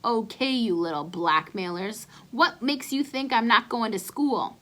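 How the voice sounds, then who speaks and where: A woman's voice: a little high, unhurried, a bit bright. A woman voicing a slangy, breezy, teasing young uncle in a children's storybook. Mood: grumbling, evasive. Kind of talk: children's storybook read-aloud.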